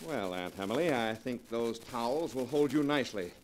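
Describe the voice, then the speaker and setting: An actor playing a greedy, ruthless, impatient murderer in 1940s radio style, brusque and melodramatic. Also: male voice, mid-range voice, expressive, moderate pace, big-room sound, over loud music, close to an everyday voice. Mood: smooth menace. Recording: noisy 1940s radio recording.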